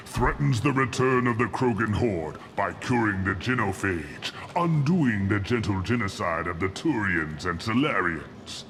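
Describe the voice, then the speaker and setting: Old alien warlord, low deep voice, haughty, scornful, theatrical, video game drama, noisy background. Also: male voice, full character voice. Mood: theatrical, relishing. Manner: pompous, theatrical, disdainful. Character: proud, contemptuous old alien warlord scientist. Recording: loud ambient noise behind.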